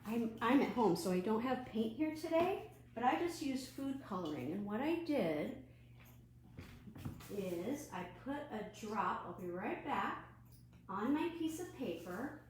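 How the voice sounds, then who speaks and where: A woman leading an online children's storytime and craft from home, animated and putting questions to young viewers. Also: fairly high pitch, a little slow. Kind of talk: children's storytime read-aloud and craft demonstration. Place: at home, indoors. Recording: roomy echo, faint background noise.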